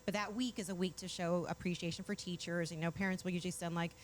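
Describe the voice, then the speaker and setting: A woman's voice: on the low side, steady tone, quick pace, a little hiss or hum. A woman from Mexico hosting a morning show, chatting with enthusiasm and explaining things in a bubbly, talkative way. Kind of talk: casual studio chat.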